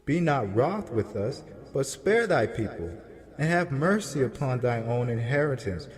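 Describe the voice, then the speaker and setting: A man's voice: medium pitch, animated, measured pace, natural voice. A man playing a humble prophet who pleads for mercy in a dramatized scripture reading, earnest and reverent. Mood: earnest, pleading. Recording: long reverb over backing music. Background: music.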